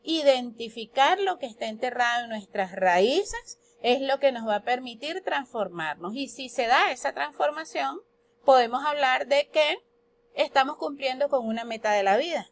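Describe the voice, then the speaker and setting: A woman's voice: sits high, expressive, moderate pace. A woman from Colombia with a Colombian Spanish accent, giving a spoken lesson on astrology that poses questions and then answers them. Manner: explanatory, asks and answers her own questions. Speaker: astrology teacher.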